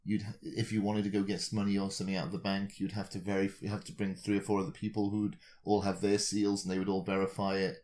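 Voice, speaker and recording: A man's voice: low voice, little pitch movement, fast, hardly any pauses, darkish tone. A man co-hosting a podcast in casual discussion, reasoning aloud discursively and reaching for examples. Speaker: podcast co-host.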